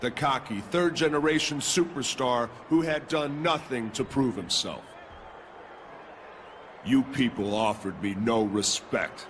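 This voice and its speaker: A man's voice: mid-range voice, noisy background, natural voice. A man voicing an arrogant, menacing male pro wrestler giving a boastful promo in a video game. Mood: taunting. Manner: slow, deliberate, taunting delivery.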